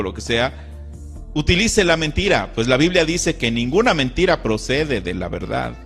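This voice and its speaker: Earnest preaching man, lively voice. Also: fairly high pitch. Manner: earnest, indignant, quotes scripture. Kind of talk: scripted sermon-style commentary.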